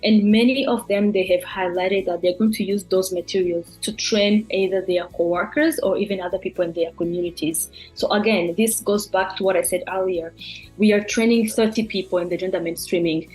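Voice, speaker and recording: A woman's voice: medium pitch, fairly even intonation, fairly quick, a little mellow, slightly rough, soft background music, poor audio. A young adult woman from Rwanda, a program consultant speaking thoughtfully to camera, with frequent fillers and restarts.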